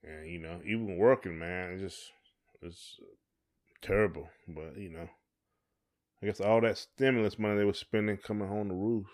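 Guy with a lowish voice, folksy casual podcast talk. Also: fairly even intonation, normal speed. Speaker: podcast co-host. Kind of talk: casual conversation.

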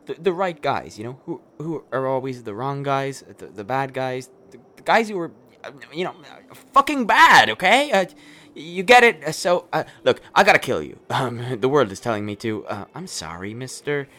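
A man acting a jittery, rambling killer, swinging pitch. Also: sing-song, moderate pace, sharp tone. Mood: agitated, apologetic. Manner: stammering, hesitant, rambling, foul-mouthed. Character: nervous, rambling, apologetic male killer, darkly comic. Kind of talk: darkly comedic radio play acting.

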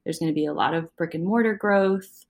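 A woman founder speaking as a podcast guest, chatty and excited about her business plans, laughing easily. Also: on the low side, brisk. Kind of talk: casual interview chat.

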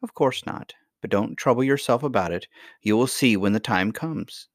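A man voicing a kindly, mysterious grandmother in a fairy tale, gentle, patient and reassuring. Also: medium pitch, normal speed, no room sound, studio quality, pitched above the performer's own voice, natural voice. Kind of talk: acted dialogue in a children's fairy tale reading.

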